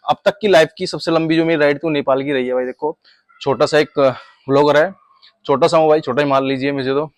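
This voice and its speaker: A man's voice: fairly high pitch, dry recording. A man chatting casually as a motorcycle travel vlogger, conversational and addressing viewers like brothers. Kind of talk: casual vlog chat.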